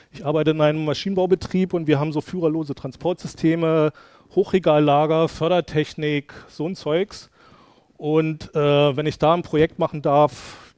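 A man's voice: fairly high pitch, darkish tone, no room sound. Chatty man giving a rambling talk. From Brandenburg, Germany. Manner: chatty, rambling, many fillers and restarts. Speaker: conference speaker. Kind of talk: casual conference talk. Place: lecture hall. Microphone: headset mic.

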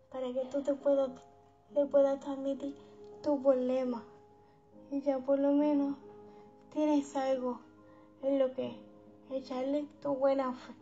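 A woman hosting a guided meditation for sleep, speaking in a soothing, unhurried, affectionate manner. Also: high voice, dark tone. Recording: dull lo-fi sound under background music.

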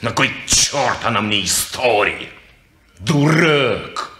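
Sour sarcastic grump, slow, bright tone, echoey 1950s recording. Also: male voice, mid-range voice, lively intonation. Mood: grumbling. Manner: grumbling, sarcastic. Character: sour, scornful man of the household. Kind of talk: 1950s radio play acting. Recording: echoey old studio recording.

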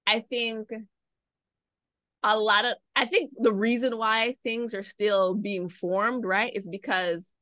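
Young woman, podcast host, bright tone, dry close sound. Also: mid-range voice, steady tone, takes it slowly, no room sound. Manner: reflective, conversational, uses "right?" often. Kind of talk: video call interview. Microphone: video call webcam.

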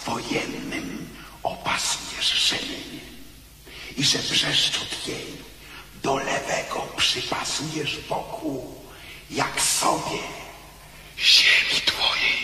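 An actor playing a mocking, ghostly accuser in a radio drama, declaiming theatrically in a hissing, breathy half-whisper. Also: male voice, fairly high pitch, heavily acted. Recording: noisy, echoing reverberant hall effect.

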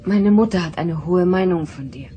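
An actress playing a composed, dutiful young alien daughter in a sci-fi audio drama, earnest and controlled. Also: female voice, on the low side, normal speed, dark tone, a little hiss or hum, close to an everyday voice. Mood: gentle.

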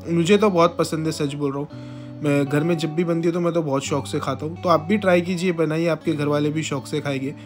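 A young man with a fairly high voice narrates a home recipe as a voice-over. He talks in a chatty, friendly way and explains each step. Soft guitar music plays quietly behind him. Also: steady tone, brisk. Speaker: cooking channel host.

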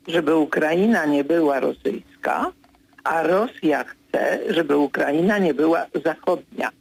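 An older man in his sixties, a political commentator in a radio studio, speaking in a measured way with frequent hesitations, pressing harder when he corrects someone. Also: on the high side, gravelly, poor audio. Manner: measured, hesitant with many fillers, grows insistent when correcting.

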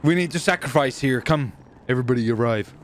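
A man doing excited, theatrical gaming roleplay, playfully repeating phrases in quick bursts. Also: mid-range voice, slight background noise. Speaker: streamer playing a clone gang leader. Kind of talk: excited game roleplay commentary.

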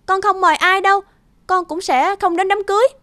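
A woman's voice: very high voice, fairly even intonation, brisk, sharp tone, no echo. A woman voicing a sullen, hurt young girl in a cartoon. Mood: curt, defiant. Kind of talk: cartoon voice acting.

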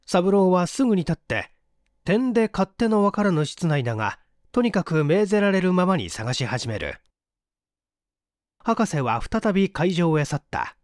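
Male audiobook narrator for mystery fiction, animated dramatic read, dry studio-like sound. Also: sits high, moderate pace, no echo.

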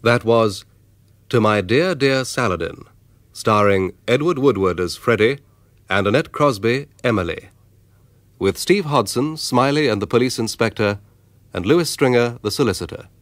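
A man's voice: medium pitch, expressive, normal speed, no room sound. A man reading scripted radio drama credits as an announcer.

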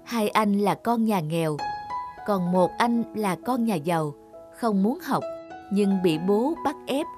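A woman's voice: mid-range voice, animated, takes it slowly. A woman telling a scripted children's fairy tale as a storyteller, with music playing behind her.

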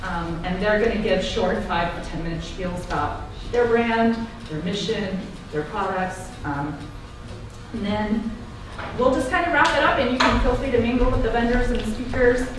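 A woman's voice: medium pitch, normal speed. A woman giving a chatty welcome and introduction to a live audience in a large hall, with plenty of filler words, heard from a distant camera. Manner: warm, chatty, frequent um fillers. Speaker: event organizer from the dispensary. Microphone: far camera, no microphone. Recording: very echoey hall, voice from far off, clear background noise.

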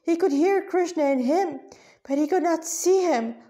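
A woman's voice: very high voice, moderate pace, mellow. A woman reading a story aloud with asides, often stumbling over words and correcting herself.

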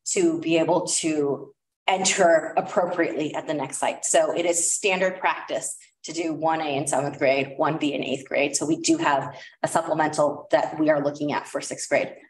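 A woman's voice: deep voice, fairly even intonation, normal speed, a little hiss or hum. A woman presenting a curriculum recommendation at a school board meeting, talking casually with many fillers. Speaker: district administrator presenting curriculum. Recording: echoey room, voice far from mic.

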